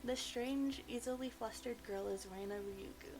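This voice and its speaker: High-pitched woman narrating over music. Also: normal speed. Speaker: visual novel reader. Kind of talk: expressive narration of a visual novel. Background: game music.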